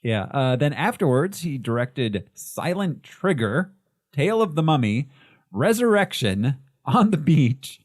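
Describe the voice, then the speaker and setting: A man's voice: a little high, very animated, unhurried, no room sound, clean studio sound. A man co-hosting a casual movie podcast, listing a director's films with frequent ums and small laughs. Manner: rattles off lists with many ums and laughs. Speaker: podcast co-host.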